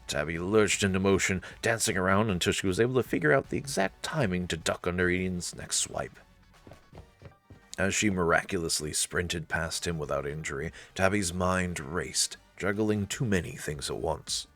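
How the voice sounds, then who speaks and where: Low-voiced man narrating a story. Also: normal speed, a bit bright, faint music behind. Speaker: let's play narrator.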